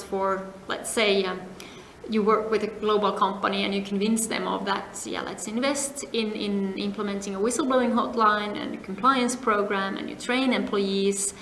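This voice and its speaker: A middle-aged woman from Finland answering interview questions, speaking in a measured way with many pauses and phrase ends that fade to a near whisper. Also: medium pitch, a bit bright. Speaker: interviewee, compliance advisor. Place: seminar venue. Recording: echoey room, faint noise.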